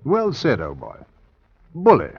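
A man playing a breezy, unflappable gentleman in old radio drama style. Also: medium pitch, wildly swooping pitch, measured pace, husky, faint music behind, heavily acted. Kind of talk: 1950s radio drama acting. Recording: dull vintage radio broadcast.

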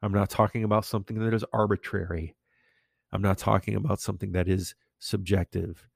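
A man hosting a podcast, preaching in a slow, emphatic, declarative way, his voice congested and slightly nasal from allergies. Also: fairly low pitch, steady tone, vocal fry, breathy edge, no room sound, studio quality. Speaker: podcast host.

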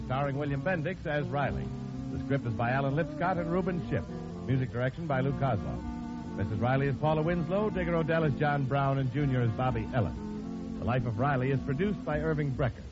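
A man's voice: medium pitch, fast talker, over loud music. A man with an American accent working as a radio announcer, reading in a polished 1940s commercial style. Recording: dull old radio recording.

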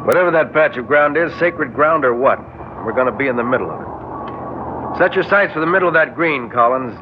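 An actor playing a wary, commanding spaceship captain in an old science-fiction radio drama, brisk and decisive. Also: male voice, mid-range voice, expressive, lightly acted. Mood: firm, decisive. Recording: muffled, noisy vintage radio recording.

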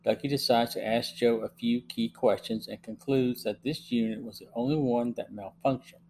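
A middle-aged man hosting a review podcast, reading scripted narration in a measured way. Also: mid-range voice, fairly even intonation, dark tone, poor audio. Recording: faint hum and background noise.

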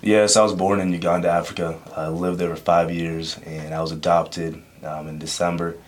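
A man's voice: low-pitched, level tone, moderate pace, a little hiss or hum. A young man and freshman football player answering earnestly, often saying "you know.". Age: young adult. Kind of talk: casual interview answers.

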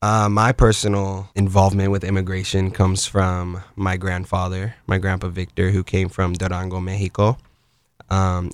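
Guy with a really deep monotone voice, measured, lots of ums, dry mic. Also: no room sound. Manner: measured, with "um" and "uh" pauses. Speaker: actor guest. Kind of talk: interview answers. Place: podcast studio.

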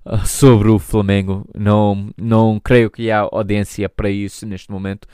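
Halting American man speaking European Portuguese. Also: fairly low pitch, little pitch movement, warm tone, smooth, dry recording, studio quality. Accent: European Portuguese, spoken by an American. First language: English. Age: 30s. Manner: halting, many restarts and searching for words. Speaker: podcast host. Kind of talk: solo podcast monologue.

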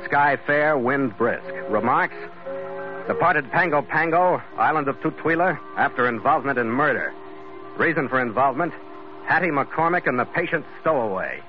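An actor playing a firm, weary American ship's master in a 1940s radio adventure, with a terse, hard-boiled delivery. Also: male voice, medium pitch, animated, moderate pace, natural voice. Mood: terse, brooding. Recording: muffled old radio recording with loud music.

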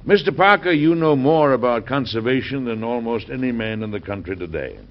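An actor playing a genial middle-aged American president and husband in an old-time radio drama, speaking formally with a hearty, deliberate manner. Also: male voice, medium pitch, lively intonation, natural voice. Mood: firm, courteous. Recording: dull, noisy old radio recording.

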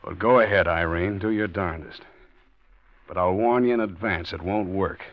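A man's voice: sits low, normal speed, dry recording, lightly acted. A man playing a bitter scheming husband. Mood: cold. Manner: bitter, hesitant with fillers. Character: scheming resentful husband, George, middle-aged. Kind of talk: 1940s radio acting. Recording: dull old radio recording.

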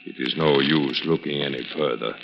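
A man acting the part of a coolly menacing mystic fortune teller in a slow, portentous 1940s radio style. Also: a bass voice, steady tone, gravelly. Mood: grave, foreboding. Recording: muffled, noisy old radio recording.

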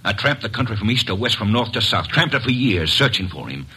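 A bitter revenge-driven drifter. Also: male voice, fairly low pitch, sing-song, fairly quick, bright, forceful tone, husky, heavily acted. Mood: grim. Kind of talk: 1940s radio acting.

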